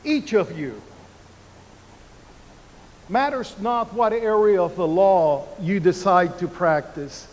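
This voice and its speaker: Older Cuban-born man, commencement speaker, high-ish voice, slow deliberate delivery, reverberant arena. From Cuba. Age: 70s. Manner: slow, deliberate oratory with emphatic pauses. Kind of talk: commencement speech. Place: large university arena. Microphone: podium microphone.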